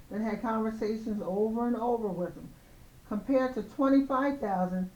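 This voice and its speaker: A slightly hoarse woman hosting a show of casual opinion commentary on celebrity news, speaking in an emphatic, admonishing way. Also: a little high, warm tone. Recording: roomy, echoing, dull lo-fi sound.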